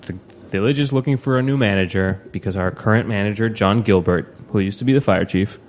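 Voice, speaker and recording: A man's voice: fairly low pitch, brisk, a little hiss or hum. A man hosting a casual podcast, telling local stories in a chatty, rambling way with lots of asides. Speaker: podcast host. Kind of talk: casual chat. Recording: dull lo-fi podcast audio.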